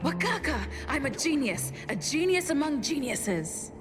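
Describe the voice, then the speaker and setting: High-voiced boastful genius acted by a woman. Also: big pitch swings, a little slow, in a large hall, music in the background. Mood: gleeful, boastful. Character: boastful, gleeful self-styled genius in a video game.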